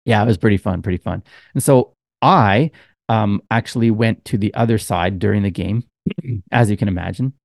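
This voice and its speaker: Lower-voiced man, rambling podcast chat. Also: normal speed, vocal fry, a little mellow, no echo, clean studio sound. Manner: rambling storyteller, many fillers. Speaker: podcast co-host. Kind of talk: casual chat.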